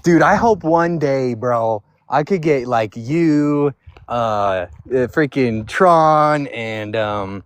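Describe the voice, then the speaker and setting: A man's voice: medium pitch, animated, slow, clean tone, no echo. A man chatting casually on a live stream as a panelist, full of bro-and-dude slang.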